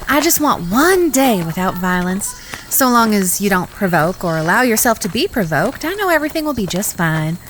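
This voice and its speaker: A woman playing a genteel, firm-willed young frontier lady in a Western audio drama, polite, folksy and gently bossy. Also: mid-range voice, sing-song, moderate pace, a little hiss or hum, poor audio. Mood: reasoning.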